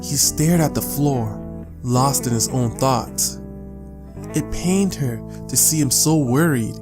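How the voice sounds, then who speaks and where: A man reading scripted audiobook narration as a storyteller, measured and with deliberate pauses. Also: mid-range voice. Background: guitar music.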